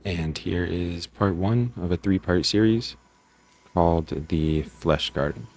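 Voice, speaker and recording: A man's voice: low voice, slow pace, a little mellow, a little hiss or hum. A man giving a casual, rambling, self-deprecating intro as the host and writer of a story.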